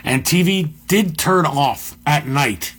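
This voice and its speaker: Middle-aged male host, deep and slightly husky, conversational and musing. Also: lively intonation, slow, a bit bright. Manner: conversational, musing, with asides and restarts. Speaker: podcast host. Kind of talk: reflective monologue.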